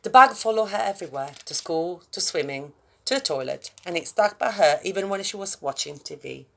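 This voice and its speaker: Woman reading a children's story, creaky low voice. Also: fairly quick, slight background noise. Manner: expressive, addressing young listeners directly. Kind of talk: read-aloud children's story with chatty asides.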